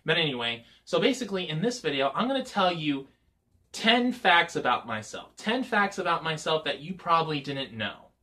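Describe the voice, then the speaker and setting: A man's voice: a little high, very animated, normal speed, pushed and bright. A man in his twenties talking casually to camera as a vlogger, rambling with lots of filler words. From Michigan, US. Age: 20s. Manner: rambling, many "you know" fillers.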